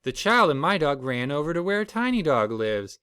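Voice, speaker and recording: A man's voice: a little high, very animated, moderate pace, pushed and bright, clean tone, no echo, clean studio sound. A man reading a children's storybook aloud as the storyteller.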